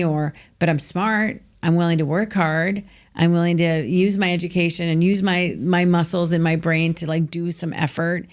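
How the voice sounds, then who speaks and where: A middle-aged woman with an American accent tells her story with energy and emphasis. Her voice sits a little low. She leans on "like" as she talks. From United States. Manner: energetic, emphatic, filler-heavy with frequent "like". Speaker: guest, author. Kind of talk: candid storytelling interview.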